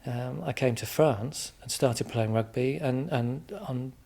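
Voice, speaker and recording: Englishman as interview guest: informal, thoughtful, halting delivery with repeated words. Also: medium pitch, creaky, mellow. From England, Midlands. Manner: hesitant, reflective, repeats words as he explains.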